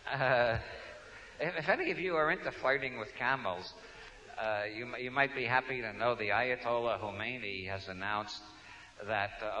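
A man's voice: medium pitch, sharp tone. A man with a New York accent giving a humorous talk to an audience, wry and digressive, restarting his sentences often. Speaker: speaker. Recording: dull lo-fi recording.

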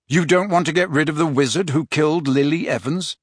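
A man voicing a determined teenage wizard boy who speaks in a quiet, steady, pressing way. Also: a little high, fairly quick, slightly rough, no echo, studio quality, pitched above the performer's own voice.

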